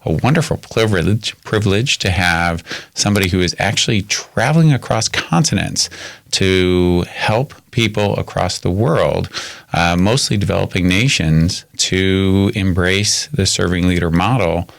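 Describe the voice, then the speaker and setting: A man's voice: low voice, measured pace, creaky. A man hosting a podcast interview, friendly with hesitant restarts. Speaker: podcast host. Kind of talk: conversational interview.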